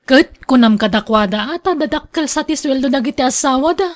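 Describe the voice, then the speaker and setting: A woman's voice: sits high, expressive, fairly quick. An actress with an Ilocano accent playing a scolding, thrifty wife and mother in a radio drama. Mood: reproachful. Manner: scolding, reproachful. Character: nagging, thrifty wife and mother, exasperated with vain husband.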